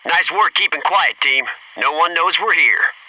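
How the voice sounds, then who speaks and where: An actor playing a focused, businesslike Navy SEAL in a military video game, giving terse, clipped tactical callouts and matter-of-fact reports. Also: male voice, a little high, lively intonation, measured pace, far from the mic, noisy background. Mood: comradely, brisk.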